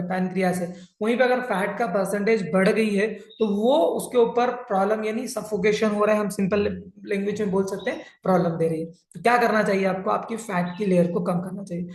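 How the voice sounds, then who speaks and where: A man in his thirties, a fitness and health coach, patiently explaining body composition in a plain teaching style. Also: high voice. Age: 30s. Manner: plain, patient explaining with many English terms. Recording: roomy echo.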